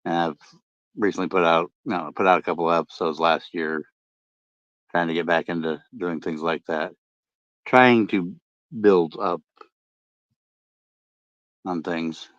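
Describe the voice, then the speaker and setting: Deep-voiced man, rambling late-night chat. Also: fairly even intonation, measured pace, pauses often, no echo. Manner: rambling, many fillers and restarts. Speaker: podcast host and ham radio vlogger. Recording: dull lo-fi dry sound.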